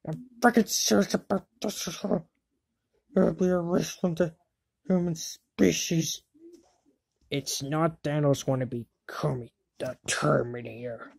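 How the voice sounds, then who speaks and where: A woman's voice: deep voice, fairly even intonation, slow, vocal fry, darkish tone, husky, no echo, heavily acted. A woman voicing a bratty, hot-tempered young boy wizard in an amateur cartoon fandub, sneering and exasperated. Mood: angry, contemptuous.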